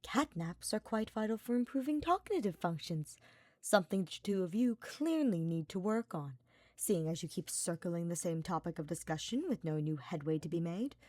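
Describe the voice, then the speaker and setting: A woman's voice: lowish voice, lively intonation, normal speed, a little mellow, dry recording. Woman voicing a drowsy, snappish young male scholar. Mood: scornful. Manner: drowsy, scornful, pedantic.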